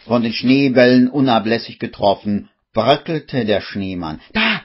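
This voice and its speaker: A man narrating a radio play as a storyteller, with measured, dramatic suspense and wry irony. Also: medium pitch, expressive, husky. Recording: dull radio recording, music behind.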